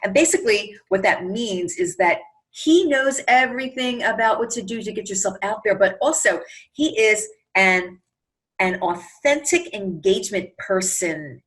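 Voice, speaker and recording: Effusive woman host with lively, swooping pitch. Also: unhurried, low-quality recording. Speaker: talk show host. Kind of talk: upbeat interview show hosting. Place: online video call.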